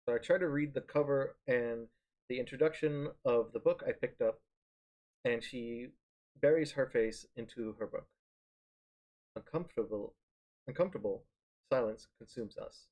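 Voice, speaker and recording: A man reading visual novel narration aloud in a live stream, halting and correcting himself as he goes. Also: medium pitch, a little mellow, rough recording. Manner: halting, stumbles and corrects words. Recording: music behind the voice.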